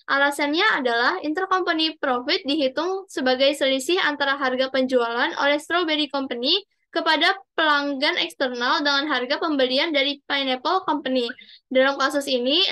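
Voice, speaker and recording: A woman's voice: high voice, bright, forceful tone. A young woman reading out worked answers as a student presenter, formal with small stumbles. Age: young adult. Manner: formal, read-aloud delivery with small stumbles.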